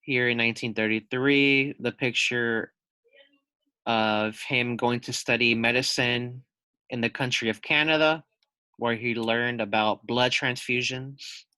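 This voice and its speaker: A man leads a read-aloud lesson for young children in a bright, forward voice. He takes his time, explains carefully, asks questions and goes back over key points. The sound is dry and close, with no room echo. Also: medium pitch, smooth. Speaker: teacher reading a children's book aloud.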